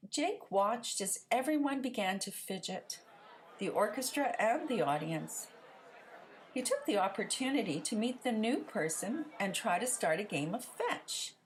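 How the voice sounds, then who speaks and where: A woman narrating a children's story aloud in an expressive, theatrical storytelling manner. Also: mid-range voice, unhurried, a little hiss or hum, rough recording.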